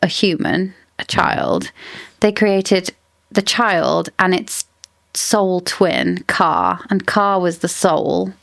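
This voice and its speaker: A woman hosts a casual book podcast, musing out loud and circling back with "like" and "I don't know" as she works out her ideas. Her voice sits a bit low, and the recording is close and dry. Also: animated, slow, creaky, no echo. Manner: thinks aloud, rambling, lots of "like" and hedging.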